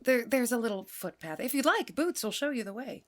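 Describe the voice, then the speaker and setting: A woman's voice: fairly high pitch, lively intonation, fairly quick, natural voice. An actress playing a practical, kindly guesthouse keeper and mother in an audio drama, with matter-of-fact, obliging, naturalistic delivery. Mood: friendly. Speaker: guesthouse landlady.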